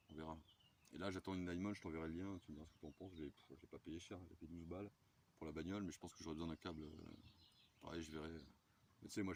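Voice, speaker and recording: A man's voice: very deep voice, a little mellow, a little hiss or hum. A man chatting in slangy, laid-back fashion as an amateur radio operator, rambling and correcting himself. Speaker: amateur radio operator filming his radio chat. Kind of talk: casual chat, slangy.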